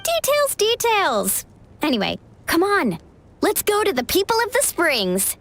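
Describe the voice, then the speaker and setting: A woman's voice: extremely high pitch, huge pitch swings, unhurried, heavy background noise, full character voice. A woman voicing a cheerful, impulsive young girl in a video game, with a bubbly, eager, casual manner. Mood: excited. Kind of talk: video game story voice acting.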